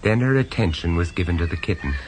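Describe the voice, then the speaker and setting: A man narrating a radio horror story in the first person, measured and dramatic, with dry sarcasm when he speaks to the others. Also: sits low, lively intonation, slight background noise, poor audio. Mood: grave. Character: grave, wry male storyteller on a castle tour.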